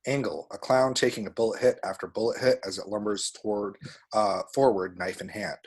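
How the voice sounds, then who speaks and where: A man with a husky voice reads screenplay action descriptions aloud as a narrator. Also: fairly low pitch, fairly even intonation, fairly quick. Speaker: table-read action narrator.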